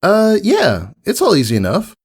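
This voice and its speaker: A man's voice: high-pitched, wildly swooping pitch, normal speed, breathy edge, voice pushed up, full character voice. A man voicing an eager, admiring young filly pegasus in a storybook reading, earnest and a little hesitant. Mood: hesitant, casual.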